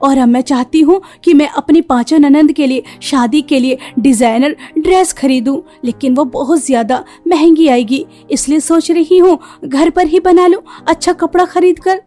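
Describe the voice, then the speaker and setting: Woman acting kind young tailor sister-in-law, very high pitch, earnest, caring, cartoon dialogue, music underneath. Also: moderate pace, few pauses, mellow, close to an everyday voice. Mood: earnest, humble. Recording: music behind the voice.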